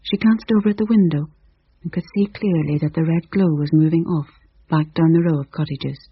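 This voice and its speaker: A woman with a very breathy, husky voice reads a suspenseful story in hushed tones. The recording is dry and close, with a slightly dull sound. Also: low voice, fairly even intonation, brisk, dry recording. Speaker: narrator. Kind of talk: storybook narration.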